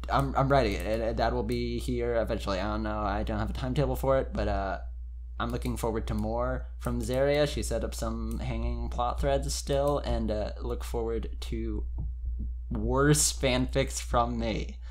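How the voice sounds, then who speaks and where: A man's voice: medium pitch, steady tone, moderate pace, a little mellow. A man hosting a dramatic fan fiction reading, with casual commentary. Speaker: host and fan fiction reader.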